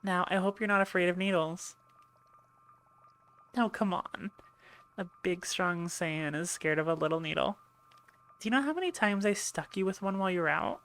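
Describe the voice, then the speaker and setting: A woman acts out a female medic on an alien warship in an ASMR roleplay. Her voice sits a little low with plenty of creak, and she talks in a chatty, playful way, teasing and reassuring her patient. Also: moderate pace, pauses often, fairly bright tone. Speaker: audio roleplay voice actor. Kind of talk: ASMR roleplay, one-sided conversation.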